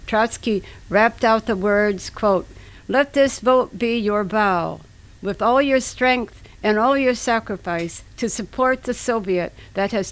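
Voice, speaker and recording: Female reader, very unhurried pace, solemn declamatory delivery for a radio narration. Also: on the high side, low-quality recording. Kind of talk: scripted dramatic reading for radio.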